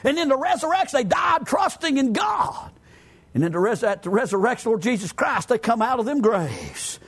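A man with a Southern US accent preaching a fervent evangelical sermon, exclamatory and often rising toward a shout, punctuating his points with amens. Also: extremely high pitch, very animated, normal speed, husky.

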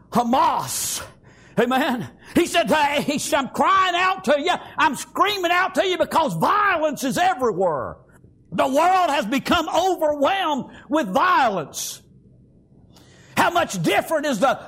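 A man's voice: very high voice, a bit bright. A man preaching an extemporaneous church sermon in a raised, strained voice, impassioned and punctuated with short exclamations of praise.

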